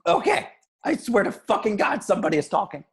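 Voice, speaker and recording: Paranoid young stoner dude, played by a woman, slangy and rambling, very animated swings in pitch, amateur table read. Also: fairly quick, close to an everyday voice. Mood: weary, suspicious.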